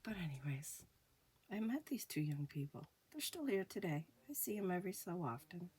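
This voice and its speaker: A woman hosting a ghost story show, telling a personal haunting story slowly with hushed pauses, her voice a little off from a recent illness. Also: lowish voice, sing-song, slight background noise. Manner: slow, hushed, dramatic pauses. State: recovering from illness, voice sounds off. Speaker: storyteller host. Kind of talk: spoken ghost story narration.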